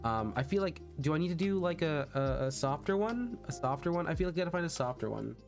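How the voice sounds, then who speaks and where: A man chats casually to his livestream audience. He speaks haltingly and self-consciously, doubling back on words. Music plays behind his voice. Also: on the high side, sing-song. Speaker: VTuber streamer practicing voice acting. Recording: background music under the voice.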